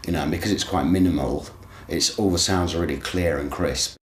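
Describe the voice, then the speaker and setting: A man's voice: low-pitched, brisk. A man talking casually and appreciatively about electronic sounds, recorded in an echoey room. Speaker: interviewee.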